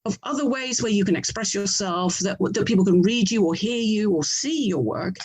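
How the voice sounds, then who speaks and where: A woman's voice: mid-range voice, expressive, moderate pace, a little mellow, husky. A middle-aged woman with an English accent answers interview questions conversationally, in a chatty, reflective way, often interrupting herself. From England. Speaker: author and audio drama producer being interviewed.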